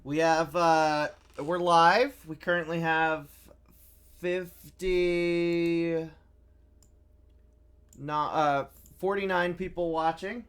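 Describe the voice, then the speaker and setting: A woman's voice: fairly low pitch, fairly even intonation, very slow, pauses often, clean tone. A woman hosting a casual live game stream and chatting with co-players and viewers. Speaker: livestream host.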